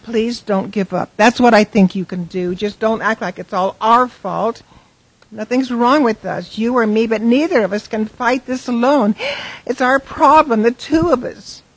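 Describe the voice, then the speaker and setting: A woman plays a worn-down wife in a radio drama. Her voice is fairly high and husky, earnest and pleading, recorded very dry and close. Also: a little slow, no echo, low-quality recording. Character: emotionally worn wife pleading with troubled husband.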